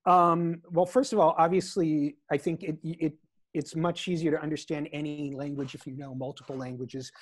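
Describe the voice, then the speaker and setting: A man's voice: on the high side, little pitch movement, moderate pace, no echo, clean studio sound. A middle-aged man chatting as a guest on a video call from his living room over earbuds, enthusiastic, with hesitant starts. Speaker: guest lexicographer. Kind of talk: casual video-call chat. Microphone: earbud headset on video call.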